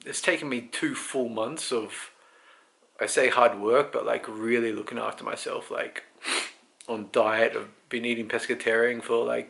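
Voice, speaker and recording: Gravelly man in his thirties, casual vlog. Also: on the low side, a bit bright. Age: 30s. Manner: rambling, candid, halting thinking aloud. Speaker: vlogger. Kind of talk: casual unscripted personal health update.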